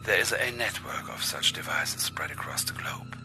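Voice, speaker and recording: Creepy mind control villain for a game, breathy half whisper, super smug and menacing, music behind. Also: male voice, a bass voice, lively intonation. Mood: smug menace. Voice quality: hushed, breathy. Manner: menacing, slow, mock-courteous. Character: sinister bald male mind-control villain bent on world domination. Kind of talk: theatrical villain acting in game cutscene.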